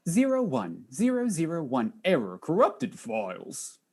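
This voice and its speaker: An actress playing a polite robot computer assistant in an audio drama, speaking in a formal, stilted, precise way. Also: female voice, sits low, huge pitch swings, a little slow, warm tone, breathy edge, full character voice. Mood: dutiful, apologetic.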